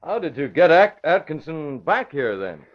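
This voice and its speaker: An actor playing a confident, quick-witted gentleman hero in an old radio crime drama. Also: a little high, very animated. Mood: bold. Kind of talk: 1940s radio acting. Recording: dull lo-fi old radio recording.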